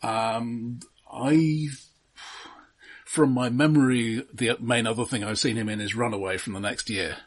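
A man's voice: medium pitch, steady tone, unhurried, husky. A man co-hosting a casual film discussion, explaining background details in a matter-of-fact way. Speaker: film discussion podcast host.

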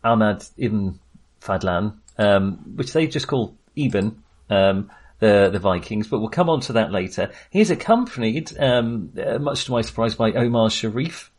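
A man's voice: lowish voice, expressive, darkish tone. Guy on a film podcast, kinda hesitant and self-deprecating, just chatting casually. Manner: halting, self-deprecating, informal.

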